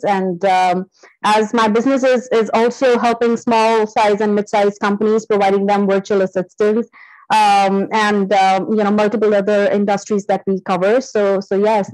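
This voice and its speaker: A woman's voice: mid-range voice, a little mellow, no echo, low-quality recording. A woman introducing herself as a business founder in an online meeting, hesitant and full of fillers. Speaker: company founder and chapter president. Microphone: video call.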